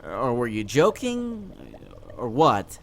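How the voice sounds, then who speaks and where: An awkward, skeptical teenage boy, played by a man, talking slowly and haltingly at a middle pitch. He stammers through comic dub dialogue, with noticeable noise behind him. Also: huge pitch swings. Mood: baffled, skeptical.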